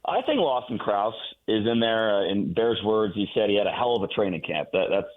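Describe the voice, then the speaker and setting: Low-voiced man on a tinny phone line. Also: animated, fairly quick. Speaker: radio play-by-play announcer. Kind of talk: sports radio guest interview, analysis.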